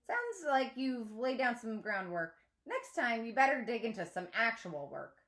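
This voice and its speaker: A woman reading a storybook voices a male art teacher who is brisk, emphatic and mildly wry. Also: on the high side, sing-song, a bit bright, full character voice.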